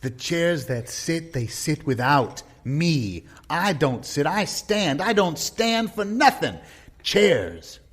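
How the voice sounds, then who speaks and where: A man's voice: a little high, sing-song, slow pace, a bit bright, slightly rough, exaggerated voice. Swooping, theatrical eccentric male poet played by an actor. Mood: grandly poetic. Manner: theatrical declaiming, swooping between chant and grumble. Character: eccentric male open-mic poet called Seven, dramatic and touchy. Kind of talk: improvised audio comedy.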